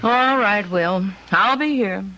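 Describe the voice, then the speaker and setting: An actress playing a gentle, lonely older housewife in an old-time radio drama. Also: female voice, medium pitch, takes it slowly. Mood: resigned. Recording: muffled old radio recording with music behind.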